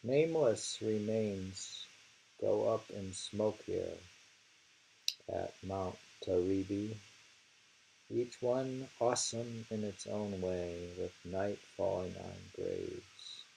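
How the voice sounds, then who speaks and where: A man reading poetry aloud with casual commentary, in an unhurried, halting manner. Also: fairly low pitch, creaky, dark tone, poor audio. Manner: unhurried, halting, repeats lines. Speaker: reader of translated poetry. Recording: faint hiss.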